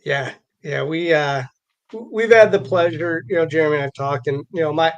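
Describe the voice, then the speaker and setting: An American man hosting a casual live interview, talking conversationally and haltingly, often repeating words as he goes. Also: fairly high pitch, muffled recording. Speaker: live show host and interviewer.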